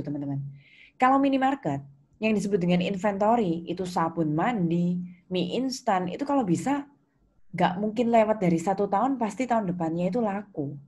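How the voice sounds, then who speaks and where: A woman's voice: fairly low pitch, darkish tone. A woman with an Indonesian accent lecturing informally to students, explaining conversationally and checking understanding with questions. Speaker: accounting lecturer.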